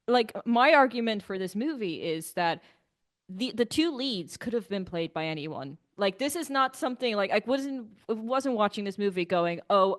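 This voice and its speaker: A Hungarian woman, a native Hungarian speaker, co-hosting a podcast and chatting frankly about films with lots of fillers and restarts. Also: mid-range voice. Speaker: podcast co-host. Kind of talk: casual film review chat.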